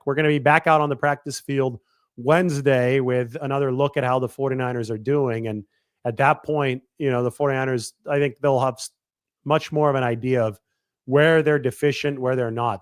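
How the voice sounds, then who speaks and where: A man in his thirties talking through a football analysis on his own show, quick and analytical, often restarting and correcting himself mid-sentence. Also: mid-range voice, no room sound, clean studio sound. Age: 30s. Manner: quick analytical delivery with frequent self-corrections. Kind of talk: sports analysis monologue.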